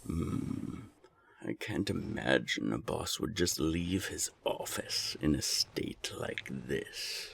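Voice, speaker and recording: A menacing serpent boss from an audio drama, with a heavily creaking growl. He drawls slowly, toying with those he looks down on, and his tone slides up and down with contempt. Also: very deep voice, lively intonation, a bit bright, full character voice. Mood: quietly menacing. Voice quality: gravelly, husky. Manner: slow, taunting, deliberate. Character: menacing naga gang boss, sly and contemptuous of humans. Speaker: gang boss. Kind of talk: audio roleplay acting.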